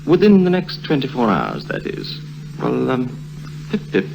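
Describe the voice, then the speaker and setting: A man's voice: mid-range voice, huge pitch swings, measured pace, gravelly, muffled recording, full character voice. A man playing a pompous, drily amused colonial police chief, clipped and polite, in a 1950s radio drama. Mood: dry, superior. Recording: noisy, humming vintage radio recording.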